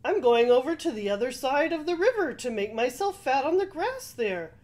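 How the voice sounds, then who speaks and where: A woman's voice: sits high, very animated, moderate pace, a little mellow, voice pushed up. A woman doing a bold billy goat. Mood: pleading. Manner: proud, pleading.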